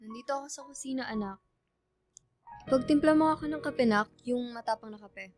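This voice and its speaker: A woman's voice: high-pitched, brisk, soft background music, pitched above the performer's own voice. A woman voicing a demanding, guilt-tripping middle-aged mother with a Filipino accent, nagging and insistent, in a radio drama. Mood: casual, bossy.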